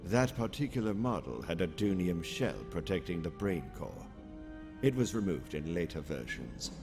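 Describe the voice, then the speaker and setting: A deep-voiced, composed alien naval officer, from a sci-fi audio comic. He speaks formally and precisely, every phrase measured, with music behind him. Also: sing-song. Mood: composed, explanatory. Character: calm, calculating alien male naval officer. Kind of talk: audio comic drama acting.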